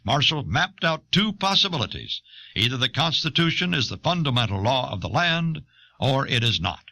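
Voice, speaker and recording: An American man reading scripted documentary audiobook narration in a measured, formal, emphatic way. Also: medium pitch, big pitch swings, creaky, sharp tone, no room sound.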